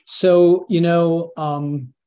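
A middle-aged man from Long Island, a podcast guest, telling his story in a relaxed way with many ums and restarts. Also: a little high, extremely slow, clean tone. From Long Island, New York. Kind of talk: casual interview storytelling.

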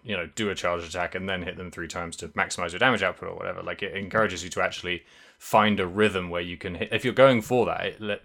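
Bright-voiced man in casual podcast chat. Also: low voice, creaky. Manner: rambling, lots of fillers and restarts. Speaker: podcast co-host. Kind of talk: casual chat about video games.

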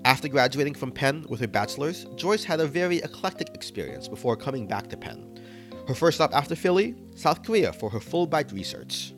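A man's voice: medium pitch, sing-song, fairly quick, soft background music. A man hosting a podcast, reading scripted narration that links the interview clips. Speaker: podcast host.

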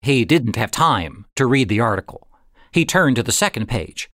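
A man narrating a story in a deliberate, dramatic way, with expressive phrasing and suspenseful pauses. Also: mid-range voice, dry recording, studio quality. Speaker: audiobook narrator.

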